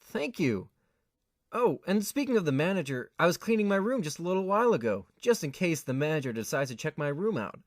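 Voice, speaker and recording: Man acting high-pitched young male tenant, earnest, hesitant, easily flustered, audio drama, dry sound. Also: big pitch swings, brisk, no echo. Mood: awkward. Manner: hesitant, stammering when flustered.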